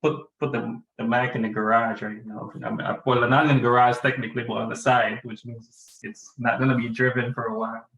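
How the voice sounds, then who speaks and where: A man's voice: medium pitch, level tone, brisk, vocal fry, few pauses, fairly bright tone. Man, student, rambling easygoing chatter, roomy echo, video call. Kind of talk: casual chat.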